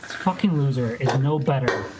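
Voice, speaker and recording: A man's voice: mid-range voice, animated, moderate pace, over a noisy crowd, low-quality recording. An aggrieved man grumbling through a disgruntled livestream monologue.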